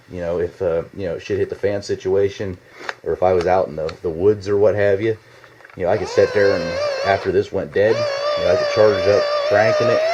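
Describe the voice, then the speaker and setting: Male amateur reviewer, deep register, informal and unscripted, meandering delivery, somewhat dull lo-fi basement recording. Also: noisy background. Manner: halting, rambling, informal with mild swearing. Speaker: product reviewer. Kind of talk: casual unscripted product demonstration. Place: indoors in a home basement. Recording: slightly dull, lo-fi home video sound.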